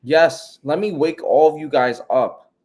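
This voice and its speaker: A man's voice: on the high side, measured pace, a little mellow. A man with a New York accent co-hosting a live Q&A, blunt and emphatic, slangy. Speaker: co-host of a live coaching Q&A. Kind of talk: casual live-stream chat.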